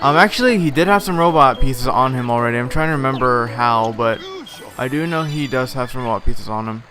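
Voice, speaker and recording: A slightly hoarse man giving casual, rambling commentary over a video game playthrough, with frequent filler words. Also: mid-range voice, fairly quick. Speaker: let's play host. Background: game music.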